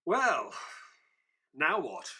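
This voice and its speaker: An actor playing an affable, mild-mannered Victorian doctor who narrates a detective audio drama and joins in the dialogue. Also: male voice, on the high side, wildly swooping pitch, extremely slow, sharp tone, husky, exaggerated voice. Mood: tentative.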